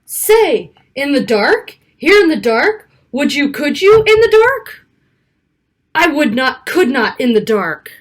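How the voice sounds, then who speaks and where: A woman reading a rhyming children's picture book aloud in an expressive, rhythmic way. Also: high-pitched, takes it slowly. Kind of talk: animated children's story read-aloud.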